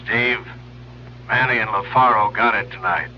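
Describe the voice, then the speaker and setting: A man's voice: on the low side, steady tone, normal speed, natural voice. A man plays a grave American law-enforcement chief in a 1970s radio drama. He speaks tersely and with command, on a dull recording with faint noise. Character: grave, businesslike male narcotics bureau chief. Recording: dull, noisy old radio broadcast.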